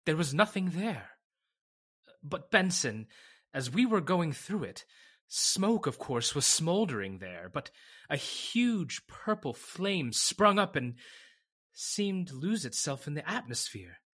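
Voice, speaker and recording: A man playing a shaken, earnest witness in old-time radio drama, speaking in a halting, dramatic way with stammered repetitions. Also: a little high, very animated, a bit bright, slightly rough, heavily acted. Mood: uneasy, awed. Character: shaken, earnest man recounting an uncanny fire, Mister Lamont.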